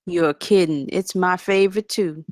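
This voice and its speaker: A woman at a table read, playing a sharp, candid and skeptical male engineer. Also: fairly low pitch, steady tone, moderate pace, no echo, studio quality, close to an everyday voice. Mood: delighted.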